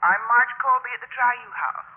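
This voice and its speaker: An actress playing a bubbly, chummy young sorority woman, brisk and gushing through a telephone filter in 1950s radio drama. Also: fairly high pitch, phone-quality sound.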